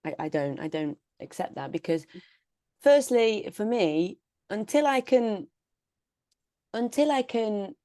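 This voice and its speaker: A woman's voice: mid-range voice, animated, slow pace, long pauses, mellow, no room sound, studio quality. A British woman co-hosting a podcast in a casual, conversational style. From United Kingdom. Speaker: podcast co-host. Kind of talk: casual chat.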